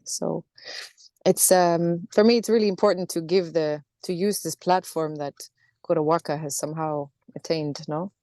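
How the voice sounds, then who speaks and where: Soft-spoken woman, thoughtful. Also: low voice, creaky, no echo. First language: Norwegian. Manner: thoughtful, halting, with many restarts and fillers. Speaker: singer, interview guest. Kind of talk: reflective interview answers.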